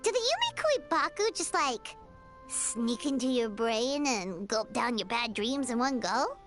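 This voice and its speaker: A woman voicing a small childlike fairy companion in a video game, squeaky, bubbly and exaggerated in manner. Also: big pitch swings, measured pace, fairly bright tone. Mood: curious, puzzled. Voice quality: high, squeaky. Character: small childlike chirpy fairy companion, curious, talks in third person. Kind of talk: anime-style video game voice acting. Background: game music.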